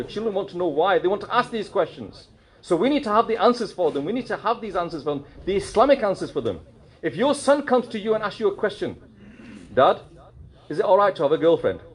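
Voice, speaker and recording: A man giving a mosque sermon, animated and full of rhetorical questions, slipping in Urdu and Punjabi phrases. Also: sits high, breathy edge. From United Kingdom. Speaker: preacher.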